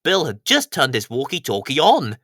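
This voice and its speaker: Male children's storyteller, scripted narration, very animated intonation, close dry sound. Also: medium pitch, normal speed, no room sound.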